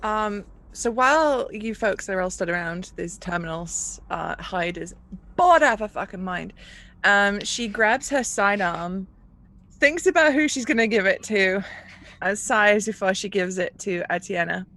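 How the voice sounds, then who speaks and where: A woman's voice: mid-range voice, animated, a little slow, bright, forceful tone. A woman casually narrating her character's actions in a tabletop game, offhand and given to swearing.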